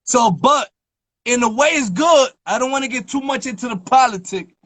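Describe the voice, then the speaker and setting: A male host talks with emphasis and keeps checking in with his guest. His voice is very high and bright, with a sharp edge. The sound is dry and close, coming through a phone on a live stream. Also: expressive, moderate pace, no room sound. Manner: emphatic, keeps asking "you feel me". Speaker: interview host. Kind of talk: casual interview chat.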